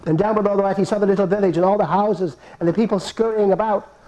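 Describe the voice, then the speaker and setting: A man telling a folk tale at a live puppet performance, playful and animated, with chuckling asides. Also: high voice, quick pace, dull audio. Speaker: storyteller in a puppet show. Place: live performance before an audience.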